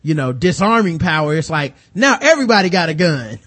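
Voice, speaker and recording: A man's voice: fairly high pitch, big pitch swings, fairly quick, fairly bright tone. An American man hosting a casual, profane film discussion, animatedly retelling scenes and acting out mock dialogue. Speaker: movie review podcast host.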